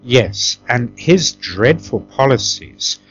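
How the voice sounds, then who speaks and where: A middle-aged man reminisces as an interview guest in an emphatic, conversational way, often tagging his points with a questioning "yeah?". He talks at an unhurried pace, and the recording is dull and lo-fi. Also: mid-range voice, lively intonation, fairly bright tone, husky. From Tanzania.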